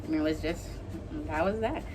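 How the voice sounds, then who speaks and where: A woman's voice: low voice, moderate pace, a little mellow, a little hiss or hum. A young adult woman telling a casual, gossipy story in informal slang, acting out what people said. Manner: informal, slangy, gossipy retelling with acted-out quotes. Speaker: online video creator telling a story.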